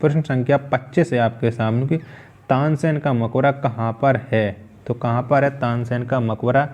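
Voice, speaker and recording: A man with a medium-pitched voice works as an exam-prep teacher, presenting a quiz-style lesson. He is methodical, reading each question out and restating it before he gives the answer. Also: fairly even intonation, moderate pace, darkish tone, lo-fi sound.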